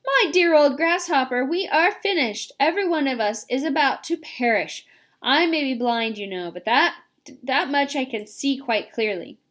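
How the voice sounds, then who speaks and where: A woman's voice: fairly high pitch, animated, a little slow, few pauses, a bit bright. A woman voicing a blind, pessimistic earthworm in a storybook reading, doleful and complaining. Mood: gloomy.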